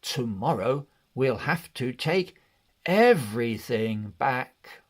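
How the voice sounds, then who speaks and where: A man narrating a children's read-along storybook in an expressive, deliberate way. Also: mid-range voice. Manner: expressive, deliberate, measured delivery.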